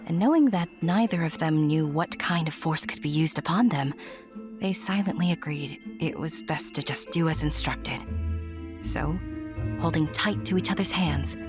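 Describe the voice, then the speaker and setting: A woman's voice: low voice, lively intonation, moderate pace. A woman narrating a scripted fantasy audio drama like a storybook, with chatty asides to the listener. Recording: loud music under the narration. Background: music.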